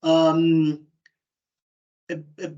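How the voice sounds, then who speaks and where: A man's voice: on the high side, completely flat delivery, drawn-out words, dark tone, muffled recording. A young man from Zimbabwe, a student, presenting on a video call with many fillers and restarts. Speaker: student speaker. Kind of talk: online open day presentation.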